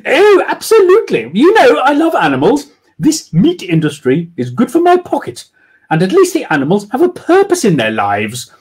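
A man reading aloud and voicing a haughty, posh woman with a high-pitched squawk and a drawn-out, schoolmistress-like manner. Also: wildly swooping pitch, a little mellow, full character voice. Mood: cruelly cheerful. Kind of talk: storybook reading.

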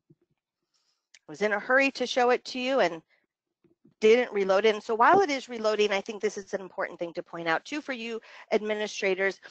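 A woman leading a training webinar, talking through software steps conversationally, thinking aloud and repeating phrases for emphasis. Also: mid-range voice, no echo. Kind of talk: conversational step-by-step software walkthrough. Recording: dull, lo-fi dry webinar audio.

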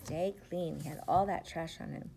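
A middle-aged woman, a preschool teacher, reads a picture book aloud to young children with expressive, rhythmic, sing-song phrasing. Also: sits low, normal speed, creaky, noisy background. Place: indoors, school classroom. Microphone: device camera at about arm's length.

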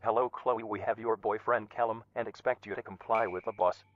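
A man playing a cold masked male kidnapper, delivering flat, menacing threats in a video message. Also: on the low side, a little fast, no room sound, lightly acted. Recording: muffled video playback with music.

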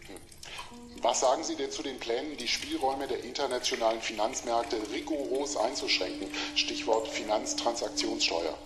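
A man's voice: medium pitch, moderate pace, close to an everyday voice. A man playing a critical, probing television interviewer in a German radio drama. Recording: echoey, music and dripping water behind.

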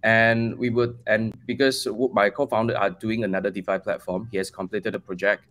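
Lower-voiced Malaysian man, casual guest. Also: little pitch movement, a little fast, fairly bright tone. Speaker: guest, crypto project team member. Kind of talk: casual interview answers. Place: bright room at home, video call.

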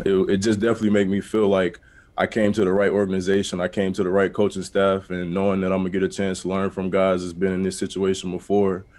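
Man in his twenties, football player, press conference answer, deep voice, rapid pace. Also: completely flat delivery, a little mellow, soft background music. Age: 20s. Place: indoor press conference before sponsor backdrop.

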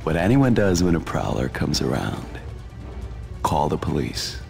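A coldly amused villainous doctor from a video game, speaks very low with a gravelly edge. His delivery is measured, sly and condescending, with loud music behind him. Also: sing-song, heavily acted. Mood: dry. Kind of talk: video game cutscene acting.

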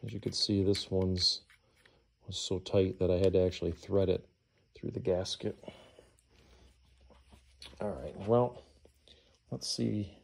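A middle-aged man with a lowish voice and plenty of creak talks casually through a hands-on job, half to himself. He sounds unhurried, like someone explaining while he works. The recording has light workshop noise and clicks behind him. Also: a little mellow. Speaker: DIY engine rebuilder giving a how-to. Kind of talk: casual hands-on tutorial, talking while working. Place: indoor garage workshop.

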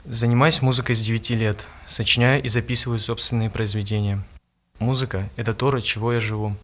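Young man, 19, from Dagestan, even mid-pitched voice, calm measured read. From Dagestan, Russia. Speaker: music student. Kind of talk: scripted personal monologue.

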